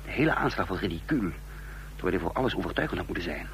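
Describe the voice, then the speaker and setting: An actor playing a tense, commanding conspirator and first-person narrator in a radio drama, emphatic and dramatic. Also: male voice, sits low, sing-song, very fast. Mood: exasperated. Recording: noisy, humming old radio recording.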